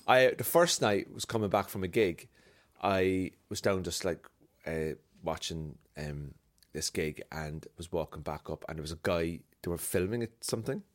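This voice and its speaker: A man's voice: deep voice, normal speed. A man from Cork with an Irish accent, chatting casually on a podcast, telling stories with lots of put-on voices and a fair bit of swearing. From Ireland. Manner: animated storyteller, acts out voices, swears freely. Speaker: podcast guest. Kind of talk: casual chat.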